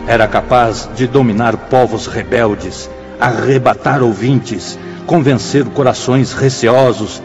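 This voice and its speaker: Solemn Brazilian spiritual mentor, radio play. Measured, declamatory, reverent; muffled sound with prominent music underneath. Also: male voice, mid-range voice. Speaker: radio drama actor. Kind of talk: solemn radio drama narration. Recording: dull radio drama mix under loud music.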